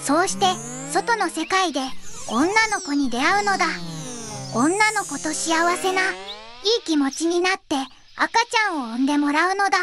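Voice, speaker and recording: A woman's voice: very high-pitched, normal speed, over music. A synthetic female voice playing a small, earnest, lonely but determined boy creature in an audio fairy tale, cutesy and stilted. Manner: cutesy, stilted, ends sentences with a verbal tic.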